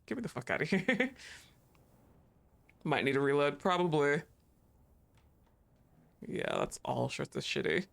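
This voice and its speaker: A man streaming a game live, reacting loudly, swearing, laughing often and talking to the game. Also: sits high. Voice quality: excitable, profane, quick to laugh, talks to the game. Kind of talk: live gameplay commentary.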